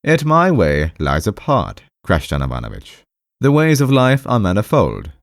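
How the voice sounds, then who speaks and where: A man's voice: fairly low pitch, huge pitch swings, normal speed, vocal fry, no echo, clean studio sound, voice pushed up, heavily acted. A man voicing a timid, defensive male clerk in an audiobook, hesitant, repetitive and half-aggrieved, with a strained, raised voice. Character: timid, defensive, rambling male clerk visiting his doctor. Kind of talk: audiobook character voice.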